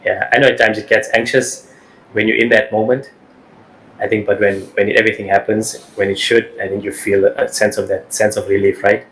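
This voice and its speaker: A man hosting a wellness podcast, asking questions in a halting way, repeating words and saying "you know". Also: lowish voice, flat delivery, gravelly, a bit bright, poor audio. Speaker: podcast host. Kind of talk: podcast interview.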